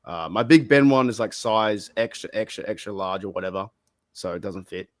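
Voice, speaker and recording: A man's voice: fairly low pitch, brisk. An Australian man co-hosting a podcast over a video call, chatting casually, swearing and laughing, his voice a little worn. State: losing his voice. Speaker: podcast co-host. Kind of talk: casual chat.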